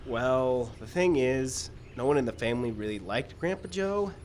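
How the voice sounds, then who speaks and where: A man's voice: medium pitch, animated, normal speed, lightly acted. A man acting a hesitant, downbeat screenwriter. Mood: rueful. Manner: hesitant, rueful, earnest. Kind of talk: live radio drama acting. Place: live radio show in an outdoor park. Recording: live outdoor stage recording, background noise.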